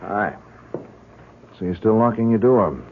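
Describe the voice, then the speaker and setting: Earnest, persistent young male academic, very low husky voice with animated swings, radio drama. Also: measured pace. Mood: earnest, defensive. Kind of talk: 1970s radio drama acting. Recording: muffled old radio recording.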